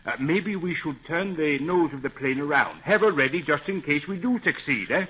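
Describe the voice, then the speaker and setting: Good natured old-time radio pilot, folksy, bit husky, dull 30s recording. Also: male voice, fairly high pitch, expressive, moderate pace. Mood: easygoing, encouraging. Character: good-natured adult male pilot, protective of youngsters. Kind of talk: 1930s radio serial acting.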